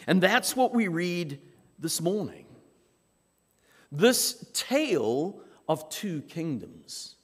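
A man from South Africa with a South African accent, preaching a sermon as pastor in measured, emphatic phrases with dramatic pauses. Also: a little high, big pitch swings, husky. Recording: long reverb of a large hall.

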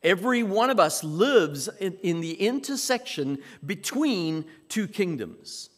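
A man from South Africa with a South African accent, preaching a sermon as pastor in measured, emphatic phrases with dramatic pauses. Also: sits high, lively intonation, fairly bright tone. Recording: long reverb of a large hall.

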